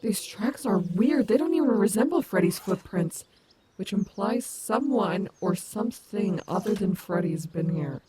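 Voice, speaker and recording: A woman's voice: mid-range voice, warm tone, a little hiss or hum, close to an everyday voice. A woman playing a cocky, protective female animatronic wolf in an audio roleplay, confident, sassy and doting on the listener. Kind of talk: fan audio roleplay acting, addressing the listener.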